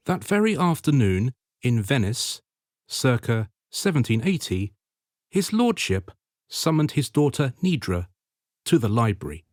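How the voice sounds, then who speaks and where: A man's voice: medium pitch, sing-song, a little slow, darkish tone, no room sound, clean studio sound. A man delivering scripted narration for a period radio drama.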